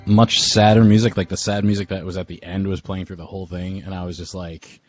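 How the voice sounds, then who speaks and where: Man, very low pitch, hesitant informal host, casual reminiscing, dull audio. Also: level tone, brisk. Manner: informal, rambling, hesitant, chuckles often. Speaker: host of a memorial group call. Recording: dull lo-fi audio with music behind. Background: music.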